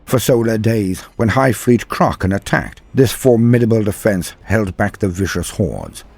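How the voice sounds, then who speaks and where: Husky theatrical male lore narrator. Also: lowish voice, animated, a little slow, darkish tone, no echo. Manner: grandiose, formal address to listeners. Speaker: host of a fantasy lore channel.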